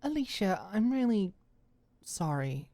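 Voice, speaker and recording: Woman acting a high-pitched, indignant young woman. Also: sing-song, a little slow, warm tone, no echo. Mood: sympathetic, apologetic. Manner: firm, exclaiming, emphatic. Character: principled young woman, indignant, protective, apologetic.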